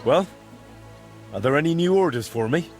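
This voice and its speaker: A man's voice: on the high side, animated, moderate pace, darkish tone, faint music behind. A man playing an earnest, polite young medieval master blacksmith in a video game. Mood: friendly, businesslike. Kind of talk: naturalistic game dialogue.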